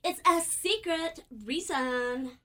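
A woman acts out a brassy, coy artist's model for a comic radio play. Her voice is high and bright, delivered at an unhurried pace. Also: exaggerated voice. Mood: coy, secretive.

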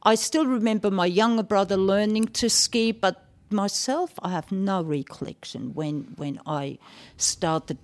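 Woman from Switzerland, middle-aged, unhurried, measured, matter-of-fact guest. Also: medium pitch. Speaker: podcast guest. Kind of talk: casual interview chat.

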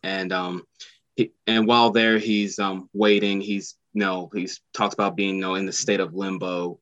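A man's voice: low voice, level tone, moderate pace, fairly bright tone, no room sound, dull audio. A man, an actor, answering interview questions casually and hesitantly, full of fillers. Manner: hesitant, many fillers like "you know". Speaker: actor guest.

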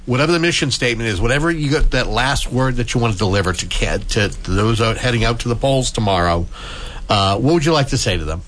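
A man's voice: medium pitch, fast talker, fairly bright tone, slight background noise. A man hosting a talk radio show, introducing guests with loose, jokey banter.

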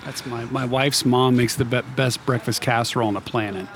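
A man's voice: mid-range voice, fairly even intonation, fairly quick, background noise. A man chatting as a podcast guest, talkative and laughing often. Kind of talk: casual chat.

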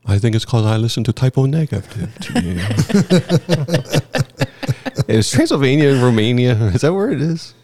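A man's voice: medium pitch, big pitch swings, moderate pace, pauses often, warm tone. A man hosting a podcast in casual chat, playful and jokey. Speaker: podcast host.

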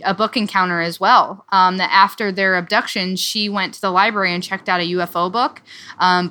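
A woman's voice: medium pitch, pushed and bright, clear, resonant tone. A young woman co-hosting a podcast, chatting casually as she summarizes a book, with lots of fillers and restarts. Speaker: podcast co-host.

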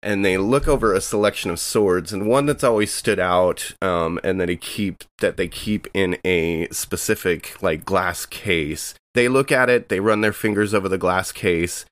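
Low-voiced man rambling through his turn. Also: moderate pace, few pauses, fairly bright tone, no room sound. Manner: rambling, filler-heavy, laid-back. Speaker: player. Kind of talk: describing his character's actions in a tabletop game.

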